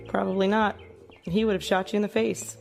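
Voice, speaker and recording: A woman's voice: mid-range voice, level tone, fairly quick, vocal fry, a little mellow, faint music behind, natural voice. A woman playing a blunt, skeptical lady with secrets in a comedy audio drama, speaking matter-of-factly.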